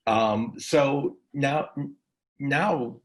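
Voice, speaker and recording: A man's voice: medium pitch, very slow. A man hosting a casual interview, telling anecdotes in a rambling, enthusiastic way. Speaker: interviewer, session host.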